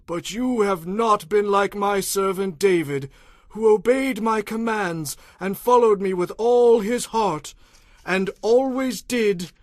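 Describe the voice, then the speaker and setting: An aged prophet of stern, wrathful temper in a dramatized scripture reading. His voice sits high and moves slowly, with solemn, declamatory weight on each phrase. The sound is dry and close. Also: no room sound. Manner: solemn, declamatory, slow and emphatic. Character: stern old male prophet delivering God's furious judgment. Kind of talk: dramatized audio Bible acting.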